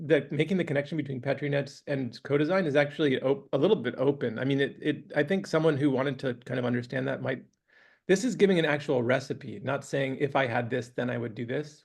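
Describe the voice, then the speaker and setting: Gravelly-voiced man lecturing, voice going. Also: mid-range voice. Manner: relaxed, hesitant, thinking aloud. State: losing his voice, clearing throat. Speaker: guest lecturer. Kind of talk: academic lecture with questions. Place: university classroom.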